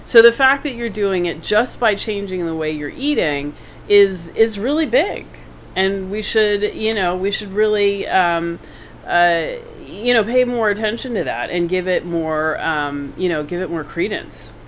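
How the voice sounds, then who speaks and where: A woman's voice: medium pitch, measured pace, a little hiss or hum, rough recording. A middle-aged woman hosting a casual video call from a home room, encouraging and affirming her guest. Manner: encouraging, frequent affirming mm-hmms. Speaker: host. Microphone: video call webcam.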